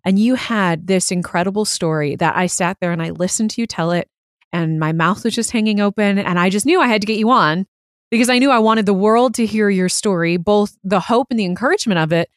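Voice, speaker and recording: A woman hosts an interview show with an earnest, emphatic delivery. Her voice sits at a middle pitch. The recording is dry and close, with very little room sound. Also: no echo. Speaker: host. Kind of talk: scripted intro and conversational interview.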